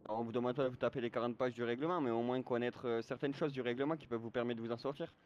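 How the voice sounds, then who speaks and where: A man's voice: medium pitch, fairly even intonation, very fast, darkish tone, highs cut off. A man explaining and arguing in an online role-play chat like a moderator, lecturing and often checking that he is understood. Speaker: game server moderator.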